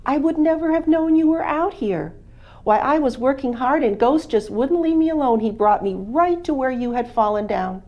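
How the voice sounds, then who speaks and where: A woman voicing a kindly, busy older male farmer with a folksy, loud way of calling out in a told story. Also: high-pitched, animated, moderate pace, lo-fi sound, voice pushed up. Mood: relieved. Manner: calls out loudly, folksy.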